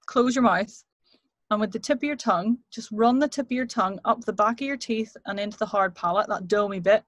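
A British woman teaching vocal exercises as a voice coach on a video call, demonstrating lively from a home studio mic. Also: mid-range voice, a little fast, no room sound.

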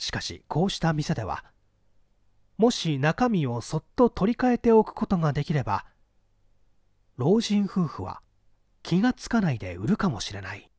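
Man narrating fiction, higher-pitched and very expressive. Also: dry recording, clean studio sound. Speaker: audiobook reader, narrator of classic mystery fiction. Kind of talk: scripted literary narration.

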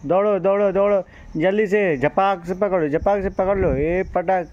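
A man's voice: high voice, normal speed, dull audio. Man coaching a child on camera. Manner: cheering, laughing, coaxing a child. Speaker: vlogger filming a child. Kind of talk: casual home-video commentary. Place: rural courtyard outdoors. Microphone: phone camera held by the filmer.

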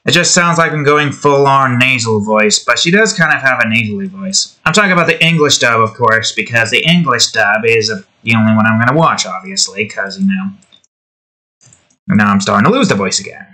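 A man's voice: mid-range voice, animated, brisk, pushed and bright. Young man rambling while he draws. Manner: rambling, self-talk, trying out voices. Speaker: art video creator. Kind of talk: casual running commentary while drawing.

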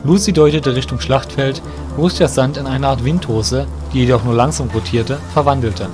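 A man narrates a fantasy audio drama from a script. His voice sits at a medium pitch. Music is clearly heard behind him as he tells the story. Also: moderate pace.

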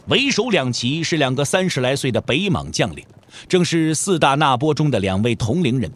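Male storyteller narrating an audio drama, higher voice, really varied intonation, soft music behind.

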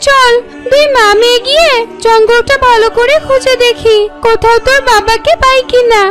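A woman's voice: very high voice, steady tone, normal speed, over music, voice pushed up. A woman voicing a worried, tender mother fox in a children's cartoon, plaintive and coaxing. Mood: tender, sad.

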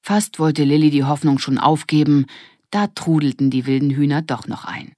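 Woman reading a kids audiobook, pretty low voice, dry close studio sound. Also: steady tone, normal speed, dark tone, no room sound. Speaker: audiobook narrator. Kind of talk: scripted children's story narration.

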